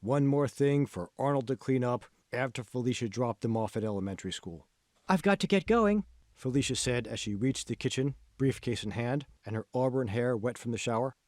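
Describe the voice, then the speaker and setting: A man reading scripted audiobook narration as an author, in measured, clearly phrased storytelling. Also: medium pitch, steady tone, a little mellow, dry recording.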